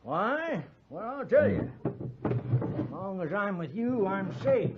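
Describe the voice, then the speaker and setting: An actor playing a wily, henpecked old American frontier settler, with a raspy, folksy rustic drawl and stammering, wheedling talk in 1950s radio Western style. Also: male voice, sits high, sing-song, takes it slowly, background noise, full character voice. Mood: sly, jovial. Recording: muffled 1950s radio recording.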